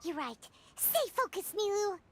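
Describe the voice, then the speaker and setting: A woman voicing a tiny, childlike, excitable sidekick in a video game, with an eager, encouraging manner. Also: very high voice, unhurried, slight background noise, heavily acted. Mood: eager, supportive.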